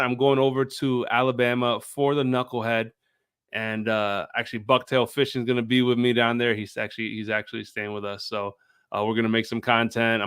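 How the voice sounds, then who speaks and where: A man's voice: mid-range voice, a bit bright, clear, resonant tone, no echo, studio quality. A man speaking informally as a guest on a show, a video creator explaining things in casual chat.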